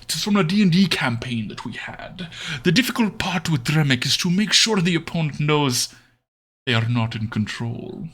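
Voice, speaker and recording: A man's voice: fairly high pitch, lively intonation, normal speed, fairly bright tone, slightly rough. A man voicing a demon broker from a tabletop game, menacing and in control.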